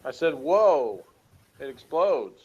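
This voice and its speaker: A man's voice: a little high, very animated, slow, a little mellow. The audio is dull and lo-fi, like an online call. A man co-hosts with casual, joking banter, wry and sarcastic in his delivery. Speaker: co-host of a review show.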